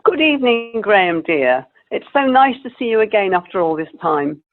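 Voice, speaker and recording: A woman's voice: medium pitch, big pitch swings, normal speed, close to an everyday voice. A woman playing a sweet, chatty old lady in a comic radio play, genteel and a little wistful. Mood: cheerful, polite. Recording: tinny narrow-band video call audio.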